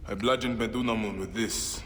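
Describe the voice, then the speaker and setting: An actor playing a vengeful Egyptian warrior and devoted husband in a video game drama, grave, intense and intimate. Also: male voice, on the low side, fairly even intonation, moderate pace, a bit bright, slight background noise, natural voice. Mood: grim, confessional. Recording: echoey long hall reverb, prominent music. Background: music.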